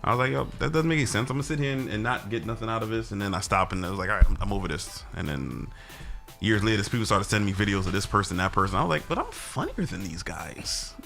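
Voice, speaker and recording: A man's voice: on the low side, fast, vocal fry, soft background music. A man, a comedian chatting in a casual interview and acting out what people said. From Brooklyn, New York. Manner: animated storyteller who acts out quotes. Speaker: comedian guest.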